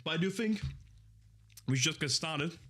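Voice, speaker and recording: A man's voice: fairly high pitch, animated, normal speed, a bit bright. A young man in his twenties who streams and chats casually to his viewers, rambling in an easygoing way and often correcting himself. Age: 20s. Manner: rambling, easygoing, with many fillers and self-corrections. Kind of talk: casual live-stream chat.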